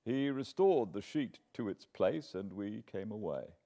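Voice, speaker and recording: A British man reading a classic novel aloud as an audiobook narrator, measured, solemn and dramatic. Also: fairly low pitch, expressive, dark tone. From Britain.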